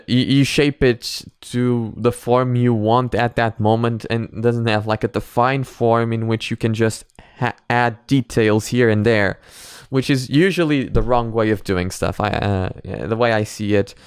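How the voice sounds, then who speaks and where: Smooth-voiced rambling man. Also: medium pitch, fairly even intonation, a little slow, no echo, clean studio sound. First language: Portuguese. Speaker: reaction host. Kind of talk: casual reaction commentary.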